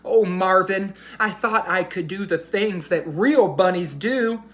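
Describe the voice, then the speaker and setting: A woman's voice: medium pitch, unhurried, fairly bright tone, close to an everyday voice. A woman voicing a big, tender-hearted young male elephant in a children's storybook, emotional and exclaiming. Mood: wailing, tearful. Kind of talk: children's storybook read-aloud.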